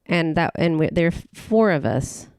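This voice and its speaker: A middle-aged woman from Tennessee, a podcast guest recalling her family in casual conversation, halting often and restarting her sentences. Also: deep voice, animated, darkish tone, no room sound, clean studio sound. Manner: rambling, halting with many restarts and fillers.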